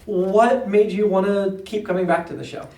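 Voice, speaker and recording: A man's voice: sits high, steady tone, normal speed, darkish tone. An American man hosting a casual interview, speaking in an encouraging, conversational way. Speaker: interviewer and show writer. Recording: echoey room, distant mic.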